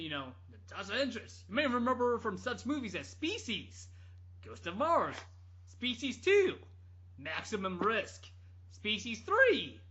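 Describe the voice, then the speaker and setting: Animated, bright-voiced man telling casual anecdotes. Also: sits high. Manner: animated, rambling, jokey. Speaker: podcast storyteller. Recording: dull lo-fi podcast audio.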